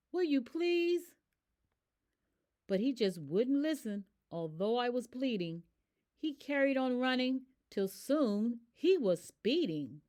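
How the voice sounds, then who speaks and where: A woman voicing an eager, easily exasperated little girl telling a rhyming picture book story in an animated, expressive way. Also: fairly high pitch, voice pushed up. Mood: pleading.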